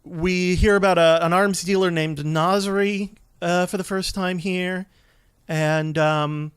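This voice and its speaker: A man's voice: high-pitched, fairly even intonation, slow, smooth, no echo, studio quality. A man giving casual gameplay commentary as a let's play host, easygoing and a bit hesitant as he explains.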